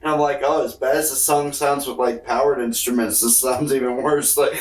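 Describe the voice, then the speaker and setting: A man's voice: medium pitch, fairly even intonation, a little fast. Man, podcast co-host, casual banter, irreverent, rambling, roomy echo, far from microphone. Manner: irreverent, rambling, jokey tangents. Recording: echoey room, distant from the mic.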